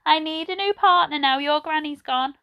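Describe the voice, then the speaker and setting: A woman voicing a kindly, frail old lady in a children's storybook, speaking gently and fondly in a slow, chatty way. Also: high voice, dull audio, pitched above the performer's own voice. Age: older.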